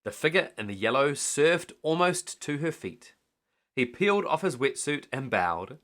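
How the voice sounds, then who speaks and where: A man reading a children's bedtime story aloud in an animated storytelling style. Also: fairly high pitch, moderate pace.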